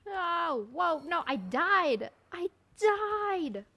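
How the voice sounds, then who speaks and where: A woman's voice: very high-pitched, very animated, drawn-out words, creaky, bright, forceful tone. A woman gamer giving casual, informal reaction commentary while she plays. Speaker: gaming content creator.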